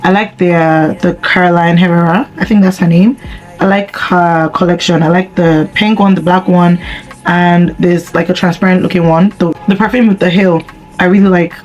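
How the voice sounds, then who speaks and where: A young woman from Nigeria with a husky voice, chatting casually and rambling with slang and deadpan humor while answering viewer questions. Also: on the low side, moderate pace, highs cut off, faint music behind. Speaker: vlogger. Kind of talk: casual chat while doing makeup.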